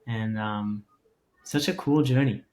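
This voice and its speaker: Male podcast host, conversational, reflective and halting in delivery. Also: fairly low pitch, darkish tone. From Germany. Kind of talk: casual interview chat.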